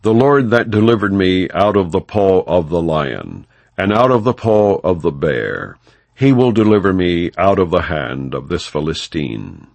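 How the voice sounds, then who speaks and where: A man's voice: sits low, sing-song, measured pace, creaky, a little mellow, dry recording, heavily acted. A man reading the part of a bold, faithful young shepherd in a scripture audiobook, earnest and declamatory in old-fashioned speech. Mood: earnest, confident.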